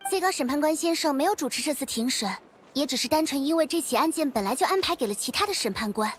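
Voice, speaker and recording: A woman's voice: high-pitched, animated, fast talker, slight background noise. A woman playing a young, eager and polite newspaper reporter in a video game, chatty and bubbly. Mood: explaining.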